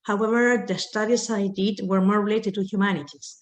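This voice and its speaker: A woman's voice: medium pitch, steady tone, brisk. Woman on a roomy call. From Spain. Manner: frequent "okay" fillers, earnest. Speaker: panelist, logistics manager. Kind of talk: online round table answer. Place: home or office on a video call. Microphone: video call microphone.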